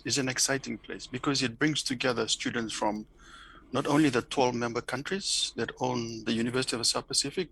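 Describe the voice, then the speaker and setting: A man's voice: mid-range voice, vocal fry, pushed and bright, slightly rough, poor audio. A middle-aged man from Fiji, speaking English with a Fijian accent, reflecting thoughtfully in an interview with many restarts and repeated words. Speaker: interview guest, academic.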